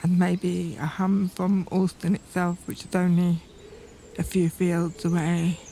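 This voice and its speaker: A woman reflecting aloud in a deliberate, unscripted way, searching carefully for her words. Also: on the low side, flat delivery, mellow. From northern England. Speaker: poet, documentary subject. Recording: outdoor field recording with environmental noise. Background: birdsong and outdoor ambience.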